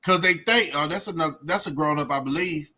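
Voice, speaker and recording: Bright-voiced man rambling informally. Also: on the high side, a little fast. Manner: colloquial, rambling, slangy delivery. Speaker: reaction video host. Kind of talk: informal reaction commentary.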